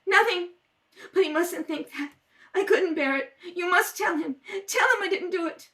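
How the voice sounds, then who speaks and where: A woman's voice: very high-pitched, normal speed, pushed and bright. A woman playing a distraught, pleading young woman suspected of murder in an old-time radio drama, with frantic repetitions. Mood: desperate. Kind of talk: 1930s radio drama acting.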